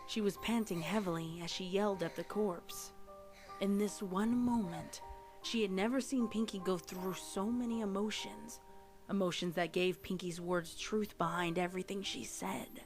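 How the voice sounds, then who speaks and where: A woman's voice: mid-range voice, steady tone, moderate pace. A woman reading scripted fan fiction narration, with music playing behind her.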